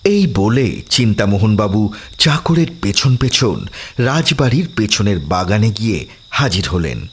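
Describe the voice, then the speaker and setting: A man's voice: on the low side, sing-song, unhurried, a little hiss or hum. A man narrating a horror story in a dramatic, scripted storytelling style.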